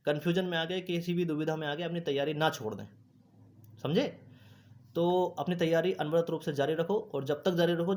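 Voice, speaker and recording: A man's voice: on the high side, darkish tone. A man giving an informal, instructive explainer to exam candidates, repeating points and often checking that listeners follow. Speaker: host of an exam news channel.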